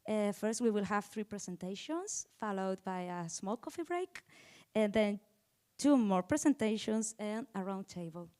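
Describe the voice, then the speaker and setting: A woman's voice: mid-range voice, lively intonation, measured pace, a little mellow. A woman speaking as the host of an event, giving a semi-formal welcome and introducing the speakers.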